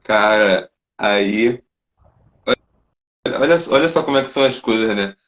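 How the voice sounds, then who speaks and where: A man's voice: on the low side, measured pace, a bit bright, lo-fi sound. A Brazilian man hosting an interview, talking in a reflective, conversational way. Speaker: host. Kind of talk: interview podcast.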